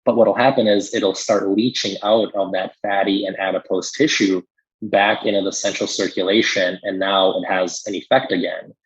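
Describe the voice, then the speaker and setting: A man's voice: low voice, fairly even intonation, moderate pace, breathy edge, lo-fi sound. An American man giving a conversational medical explanation in an informal, explanatory manner, like a podcast expert.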